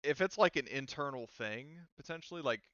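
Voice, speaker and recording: A man works as game master of a tabletop roleplaying game, improvising narration in a loose, chatty manner. His voice is clean and smooth at a middling pitch, with a close, dry sound. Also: a little fast, bright, forceful tone, dry recording, highs cut off. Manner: casual, conversational.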